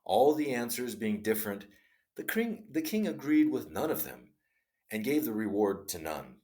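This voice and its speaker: A man reading a classic short story aloud in an expressive, dramatic storytelling style. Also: fairly low pitch, moderate pace. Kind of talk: read-aloud story narration.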